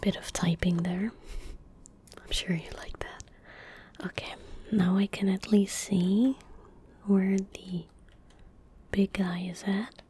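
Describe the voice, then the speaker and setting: A young woman gaming streamer in soft-spoken ASMR style, murmuring to herself in a breathy whisper at a close microphone. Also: mid-range voice, steady tone. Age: young adult. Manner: unhurried, murmuring to herself as she plays. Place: indoor room at a gaming setup. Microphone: close desk microphone.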